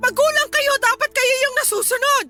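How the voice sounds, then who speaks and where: High-voiced, wounded Filipino daughter. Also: female voice, fairly quick, music in the background. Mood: reproachful, hurt. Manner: emotional, reproachful, pleading. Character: hurt, resentful adult daughter, dutiful family breadwinner. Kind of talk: Filipino radio drama acting.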